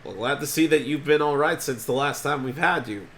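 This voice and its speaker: A man's voice: mid-range voice, brisk, a little hiss or hum. A man hosting a homemade game show, upbeat and chatty, with a voice congested by allergies. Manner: energetic, chatty, throat-clearing.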